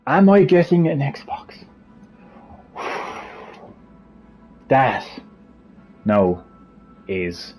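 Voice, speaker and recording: A man's voice: medium pitch, big pitch swings, extremely slow, pauses often, darkish tone, faint music behind. A man with a Cork Irish accent hosting a casual live stream, joking and ranting with lots of swearing. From Ireland. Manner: profane, jokey, ranting. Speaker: livestream host. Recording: slightly muffled stream audio.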